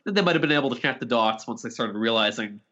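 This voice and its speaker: A man's voice: medium pitch, expressive, fast, fairly bright tone, highs cut off. A man co-hosting a podcast, chatting informally about a film and swearing casually. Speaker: podcast co-host.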